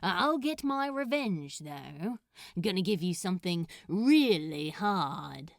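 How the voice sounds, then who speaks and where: A woman's voice: fairly low pitch, sing-song, takes it slowly, dry recording, clean studio sound, heavily acted. A woman voicing a teasing, grumbling male demon with a casual, sly drawl, in a fan fiction reading.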